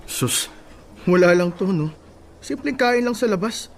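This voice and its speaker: An actor playing a pushy, selfish, irritable young husband, curt and insistent, in Filipino radio drama style. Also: male voice, high voice, expressive, unhurried, a little hiss or hum. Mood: offhand.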